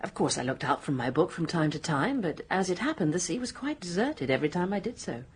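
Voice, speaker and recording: A woman playing a composed, assured hotel guest giving precise answers in a radio mystery, with a husky voice. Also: on the low side, very animated, a little fast, full character voice. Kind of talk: radio mystery drama acting.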